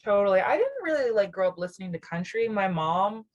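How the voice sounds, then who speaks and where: A woman's voice: medium pitch, very animated, a little fast, lo-fi sound. A young adult woman from near Nashville, a musician guest on an interview show, talking in a rambling, reflective way with many fillers and frequent laughs. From Southern US, near Nashville, Tennessee. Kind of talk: casual interview chat.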